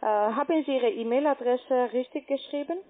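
A woman with a foreign accent, not a native German speaker, giving formal, halting instructions as a call-centre agent. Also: high-pitched. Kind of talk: phone instructions. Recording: muffled, tinny phone line.

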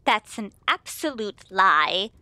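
An indignant young nurse in a radio mystery, acted by a woman. She speaks slowly and sharply, her voice bright and tense at a medium pitch. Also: big pitch swings, no room sound, exaggerated voice. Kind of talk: old-time radio mystery acting.

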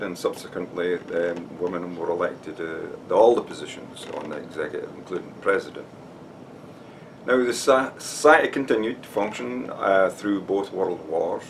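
A man's voice: low voice, recorded from a distance, slight background noise, poor audio. An older man from Scotland with a Scottish accent gives an informal talk to camera, explaining steadily and often starting with "now" or "uh". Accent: Scottish, Dumfries. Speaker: society member giving a talk. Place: room with shelves and flags behind.

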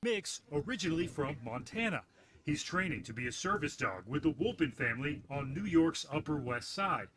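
A man with a fairly high voice narrates a TV news report in a crisp, practiced reporter's manner. The clip is played back with clear noise underneath. The delivery is steady and scripted. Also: moderate pace.

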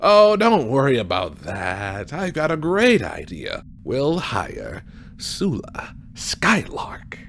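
A man's voice: mid-range voice, huge pitch swings, slow pace, vocal fry, a bit bright, full character voice. Scheming hotelier, played by a man, extremely swooping intonation, wheedling, faint ambient noise. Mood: smug. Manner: wheedling salesman's patter. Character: scheming, showy male hotel boss chasing money. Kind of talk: comedic audio drama acting.

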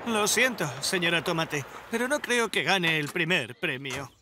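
An actor playing an eager, clumsy young firefighter in a cartoon, polite and easily flustered. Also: male voice, a little high, animated, unhurried, noisy background.

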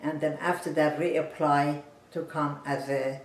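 A woman in her nineties from Iran, calmly telling her life story in a seated interview on a living room sofa. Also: deep voice. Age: 90s. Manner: measured, plain storytelling, seated and still. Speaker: interviewee, physician. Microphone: camera at a distance. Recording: roomy, distant home recording.

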